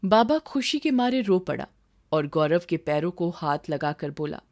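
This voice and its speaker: A woman's voice: fairly low pitch, animated, unhurried, a little mellow. A woman reading a scripted story as its narrator.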